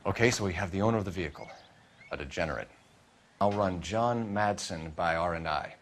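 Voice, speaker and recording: A man's voice: low voice, a little fast, a little hiss or hum, close to an everyday voice. A man acting a clipped, businesslike American police detective in a 1940s crime video game, formal and authoritative.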